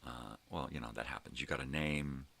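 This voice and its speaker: A middle-aged man with a gravelly voice, rambling casually as he tells stories with swearing and emphatic bursts. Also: very deep voice, fairly quick, pushed and bright. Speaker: host of a daily personal vlog.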